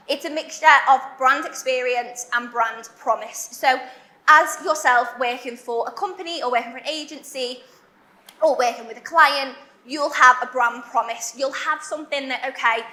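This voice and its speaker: Young Liverpool woman, high bright voice, chatty conference speaker, echoey hall. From Liverpool, England. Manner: chatty, self-deprecating, fast with many fillers. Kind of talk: conference talk. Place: large warehouse event hall.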